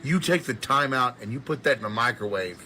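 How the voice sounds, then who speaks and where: A man's voice: mid-range voice, expressive, brisk, pushed and bright, slight background noise. A man delivering an emphatic, scolding, mock-serious rant.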